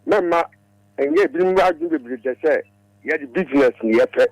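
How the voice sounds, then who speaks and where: A man's voice: on the high side, huge pitch swings, fairly quick, vocal fry, a little mellow, no room sound, rough recording. A man speaking as a caller on a radio show.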